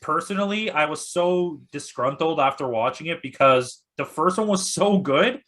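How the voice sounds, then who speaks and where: Man, slightly high voice, forceful bright tone, casual film talk, emphatic, opinionated. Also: expressive, normal speed. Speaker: film critic guest. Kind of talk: casual opinionated movie discussion.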